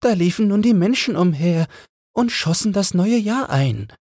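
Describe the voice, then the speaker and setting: Piepsig hohen, missmutigen kleinen Spatz. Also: male voice, high voice, lively intonation, moderate pace, a little mellow, dry recording, studio quality, voice pushed up. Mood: grumpy, complaining. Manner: chirpy, complaining. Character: small, frozen, grumpy chattering sparrow. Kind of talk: fairy-tale audiobook character voice.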